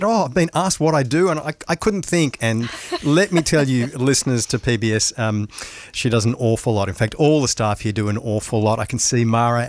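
A man, a radio station's programs manager, talking on air in a wry, articulate way. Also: mid-range voice. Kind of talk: on-air fundraising chat. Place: radio studio.

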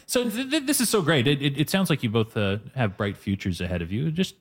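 A male radio host conducting an interview, lively and prone to repeating words. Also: mid-range voice, brisk.

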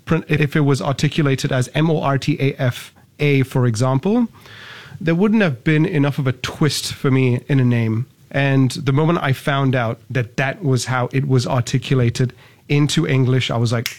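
A young man from Singapore gives interview answers in a measured, formal way, choosing long words. His voice sits at an ordinary middle pitch for a man. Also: darkish tone. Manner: measured, wordy, formal phrasing. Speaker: startup founder, guest.

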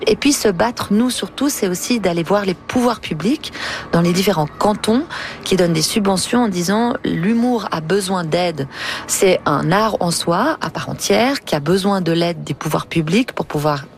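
Earnest woman making her case, lowish voice, faint noise behind. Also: animated, normal speed. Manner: earnest, advocating. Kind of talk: interview answer.

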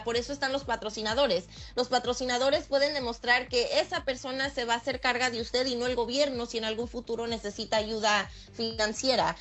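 A woman's voice: on the high side, a bit bright. A woman from Mexico with a Mexican accent, speaking as a lawyer explaining things plainly in an interview. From Michoacán, Mexico. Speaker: lawyer, expert guest.

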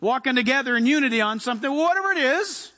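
A middle-aged man preaching a sermon in an emphatic, conversational way, moving between quieter asides and raised exhortations. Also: very high-pitched, animated, fast talker. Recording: long hall reverb.

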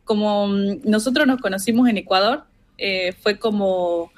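A young woman from northern Argentina with an Argentine accent, speaking as a relaxed interview guest, full of "este" fillers. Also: medium pitch, unhurried. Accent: Argentine Spanish. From Argentina, Salta, Tartagal. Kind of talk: casual interview by call. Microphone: video call.

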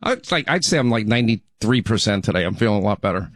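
A man's voice: fairly low pitch, sing-song, quick pace, fairly bright tone, no room sound, studio quality. A middle-aged man hosting a talk radio show in a chatty, rambling way, his voice still recovering from laryngitis. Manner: chatty, rambling, frequent restarts. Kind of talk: casual talk radio monologue.

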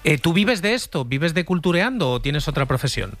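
A man's voice: on the high side, very animated, fairly quick, fairly bright tone. A man with a Spain Spanish accent co-hosting a radio show with casual on-air banter. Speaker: radio co-host.

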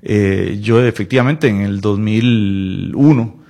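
Costa rican guy in his late 40s, husky low voice, thinks out loud and repeats himself, chatty interview. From Costa Rica, Palmares in the western Central Valley. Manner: halting, reflective, repeats words and seeks agreement with tags. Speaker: interview guest, writer and designer. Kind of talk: casual conversational interview.

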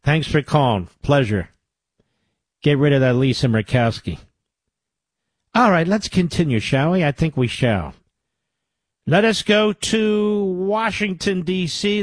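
A male talk radio host with a husky, slightly breathy voice, recorded dry and close. He argues emphatically and doubles up his phrases for stress. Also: high voice, lively intonation, measured pace, no echo.

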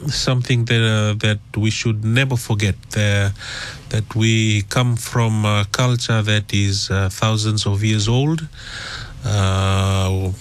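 A man's voice: lowish voice, fairly even intonation, takes it slowly. A middle-aged man from Fiji, a guest talking in a radio interview, hesitant and full of fillers and restarts. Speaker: guest author.

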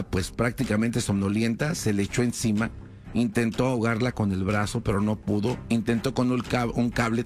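Man, true crime storyteller, conversational, filler-heavy, mid pitch, quiet music behind. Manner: conversational, frequent "pues" fillers and tag questions.

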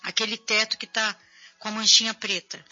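Woman, Brazilian accent, hoarse, bright piercing tone, chatty TV host, faint music behind. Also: medium pitch, level tone, moderate pace, dull audio. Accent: Brazilian Portuguese. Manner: chatty, addresses viewers directly. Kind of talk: casual talk show, reading viewer messages.

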